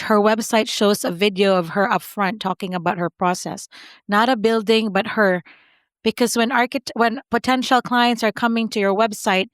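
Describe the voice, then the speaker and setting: A woman's voice: fairly high pitch, no echo. Accented woman host, dry sound. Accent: non-native English. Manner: run-on sentences, asks for agreement. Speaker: podcast host. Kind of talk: conversational interview.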